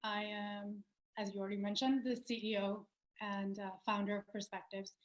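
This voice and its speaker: A woman's voice: mid-range voice, flat delivery, moderate pace, muffled recording. A young adult woman from Pakistan, a company founder answering interview questions in a thoughtful way, with many fillers and restarts. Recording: roomy echo.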